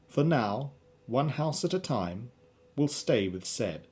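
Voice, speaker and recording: A man's voice: medium pitch, expressive, slow pace, darkish tone, a little hiss or hum. A man narrating a scripted radio drama as its storyteller.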